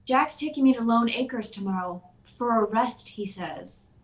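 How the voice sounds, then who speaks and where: A woman's voice: a little high, unhurried, lightly acted, rough recording. A woman acting a frightened, pleading wife who fears her husband, in old-time radio drama style. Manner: anxious, pleading. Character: frightened young wife convinced her husband will kill her.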